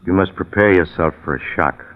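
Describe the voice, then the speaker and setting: An actor playing a concerned, steadying friend, earnest and admonishing in a deliberate 1940s radio drama style. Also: male voice, sits low, close to an everyday voice. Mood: grave. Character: concerned, steadying male friend of a doomed man. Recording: very muffled old 1940s radio recording.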